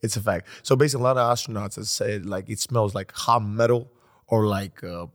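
A man's voice: lowish voice, fairly even intonation, a little fast. A man from Greece hosting a casual podcast interview in a playful way, often repeating himself and using fillers. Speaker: podcast host.

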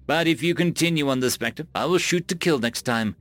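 A man's voice: on the high side, fairly bright tone, no room sound, faint music behind, lightly acted. Man doing a coolly menacing human captain. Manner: unhurried, offhand, coolly menacing. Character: laid-back human cargo ship captain, calmly threatening.

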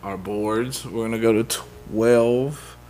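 A man's voice: on the low side, steady tone, slow, dark tone, slight background noise. Laid-back man co-hosting a podcast. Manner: laid-back, laughs often, uses "like" and "y'all". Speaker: podcast co-host. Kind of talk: casual banter.

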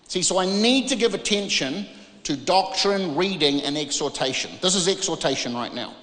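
A man from New Zealand with a New Zealand accent preaches an emphatic, informal charismatic sermon, using dramatic pauses and rhetorical questions. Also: high-pitched, lively intonation, a bit bright. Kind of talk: charismatic sermon, part exhortation and part Bible reading. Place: large reverberant room, preaching to a congregation. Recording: echoey, reverberant large room.

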